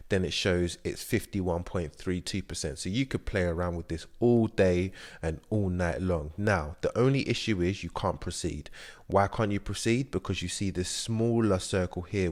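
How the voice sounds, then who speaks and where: A young man with a very low, deep voice talks viewers through an investing app screen by screen. He is chatty and explanatory, with frequent fillers. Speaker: investing channel host. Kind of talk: screen-share app walkthrough.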